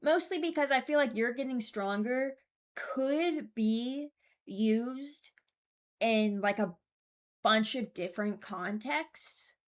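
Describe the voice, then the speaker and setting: A woman's voice: fairly high pitch, slow pace. A young woman giving casual, unscripted commentary as a video host, rambling and hesitant as she thinks aloud. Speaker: video host ranking game voice lines.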